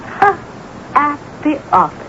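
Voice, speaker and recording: An actress in an old radio drama playing an imperious, high-strung woman novelist with a theatrical, scornful, biting delivery. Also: sing-song, slow pace, bright, forceful tone, slight background noise, full character voice. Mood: furious, scornful. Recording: muffled lo-fi radio drama tape.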